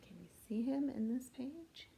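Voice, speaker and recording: Woman, fairly high voice, storybook reader, expressive rhyming read-aloud, measured delivery for children. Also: vocal fry, rough recording.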